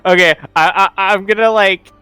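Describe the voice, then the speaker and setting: A man's voice: high-pitched, fairly even intonation, normal speed, pushed and bright, clean tone, dry recording, faint music behind. A man playing a tabletop game, role-playing casually in an insistent, indignant and sweary way. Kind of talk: casual, improvised role-play chat.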